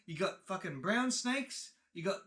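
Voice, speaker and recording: A man's voice: high-pitched, expressive, a little fast, bright, forceful tone, voice pushed up. A man playing a short-tempered, old-school father who splutters and repeats himself indignantly, swearing as he scoffs. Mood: exasperated, scoffing.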